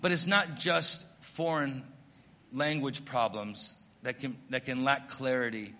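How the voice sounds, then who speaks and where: A middle-aged American missionary pastor preaching a sermon, telling stories in an earnest, self-deprecating way. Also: male voice, medium pitch, measured pace, sharp tone, long reverb tail. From United States.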